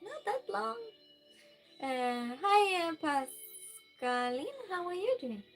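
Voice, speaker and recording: A woman's voice: extremely high pitch, very animated, takes it slowly, faint music behind. A woman with a Norwegian accent, a native Norwegian speaker, chatting casually on a live stream, reading viewer messages aloud with plenty of fillers and restarts.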